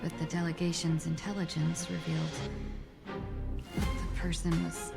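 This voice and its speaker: A woman's voice: low-pitched, completely flat delivery, vocal fry. Poised female envoy, music behind. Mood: grave, explanatory. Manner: formal, measured, eloquent. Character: poised, courteous female envoy undercover on a mission. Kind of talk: scripted game voice acting. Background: background music.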